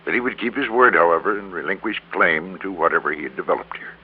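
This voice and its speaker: An actor playing a greedy, defeated middle-aged businessman in an old radio drama, confessing in a halting, resigned way. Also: sits low, animated. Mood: rueful confession. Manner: halting, resigned, repeats words for emphasis. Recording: crackly, narrow-band old radio recording.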